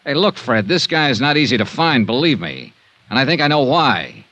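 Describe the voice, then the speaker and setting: A man's voice: medium pitch, sing-song, normal speed, bright, forceful tone, no echo, heavily acted. An actor playing a wry, tough American insurance investigator who narrates his case in clipped, hardboiled 1950s radio detective style. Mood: irritated, forceful. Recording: noisy old radio drama recording.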